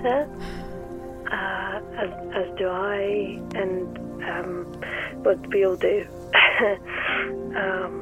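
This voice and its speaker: A woman's voice: mid-range voice, close to an everyday voice, rough recording. A woman playing a loving, wistful middle-aged mother in an audio drama, leaving a hesitant, tender phone message. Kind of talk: naturalistic audio drama acting. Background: music.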